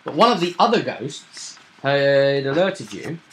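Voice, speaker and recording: A man's voice: mid-range voice, sing-song, unhurried, a little hiss or hum, low-quality recording. A man casually recapping a tabletop roleplaying session as game master, with a storyteller's phrasing and tag questions.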